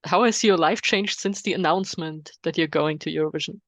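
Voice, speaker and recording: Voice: medium pitch, a little fast, fairly bright tone, no room sound. Dry-sounding German interviewer asking plain questions. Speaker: interviewer, podcast host.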